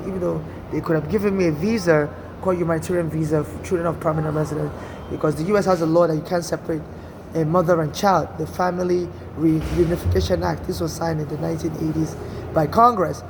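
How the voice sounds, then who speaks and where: Nigerian man, high gravelly voice, rambling earnest monologue, traffic noise behind. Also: steady tone, quick pace. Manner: earnest, rambling, frequent restarts and "you know". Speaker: storyteller recounting personal experience. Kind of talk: casual first-person monologue.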